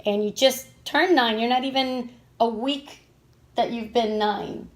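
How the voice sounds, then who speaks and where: A woman's voice: on the high side, unhurried. Rambling woman from Venezuela. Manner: rambling, with filler-heavy restarts. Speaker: vlog host and stay-at-home mom. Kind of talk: casual family vlog introduction.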